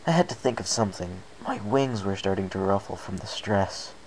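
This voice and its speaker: A man's voice: lowish voice, animated, normal speed, a little mellow, slight background noise, rough recording. A man narrating a first-person story from a script as an amateur reader.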